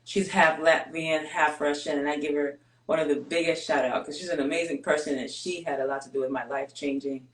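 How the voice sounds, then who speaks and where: Greek-born woman guest with Greek as her first language, candid and measured, roomy echo. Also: sits low. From Greece. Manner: candid, steady, measured with pauses. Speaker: guest, basketball player. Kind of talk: reflective interview storytelling.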